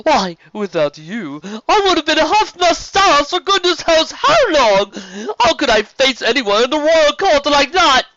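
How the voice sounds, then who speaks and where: A woman voicing a vain, gushing, flamboyant male sea serpent duke with theatrical wails and drawn-out exclamations in a fan story reading. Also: high voice, very animated, fairly bright tone, no room sound, pitched above the performer's own voice, heavily acted. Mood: grateful, dramatic. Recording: slightly dull, lo-fi recording.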